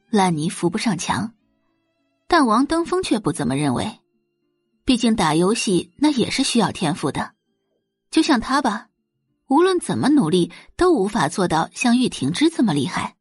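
A woman's voice: mid-range voice, breathy edge, no room sound, clean studio sound. A woman narrating a scripted audio drama in a dramatic storytelling style.

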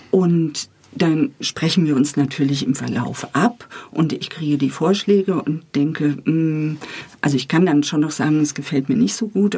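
A middle-aged woman with a slightly husky voice, talking in an engaged, explanatory way as an interview guest about her work. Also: deep voice, a little mellow. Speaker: radio editor and radio drama author, interview guest. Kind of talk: lively explanatory interview talk.

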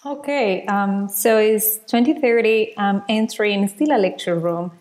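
A woman's voice: mid-range voice, a little mellow. A woman from Ecuador, a university lecturer speaking conversationally as a podcast guest. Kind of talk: conversational interview talk.

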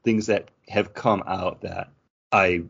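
Guy w lowish voice on a podcast, casual debate, kinda muffled audio. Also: steady tone, slow pace, vocal fry, fairly bright tone, breathy edge. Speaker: podcast co-host. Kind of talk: casual chat, good-natured debate. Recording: dull lo-fi audio.

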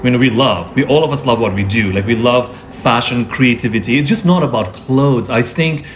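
A man who is a fashion designer, speaking in a conversational interview, thoughtful and often pausing to search for his words. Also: mid-range voice, slight background noise. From born in Singapore, grew up in Nepal and India.

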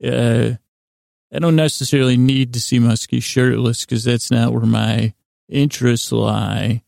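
A man hosting a bedtime podcast in a rambling monologue, halting and often interrupting himself as he wanders off on tangents. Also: mid-range voice, fairly even intonation, creaky, darkish tone, no room sound, studio quality. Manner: halting, digressive, mumbly self-interruptions. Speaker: podcast host.

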